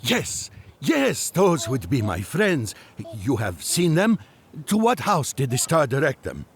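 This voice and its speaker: An actor playing an earnest, courteous ancient magus on a quest, speaking formally in an audio drama. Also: male voice, medium pitch, huge pitch swings, takes it slowly, full character voice. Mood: eager, urgent.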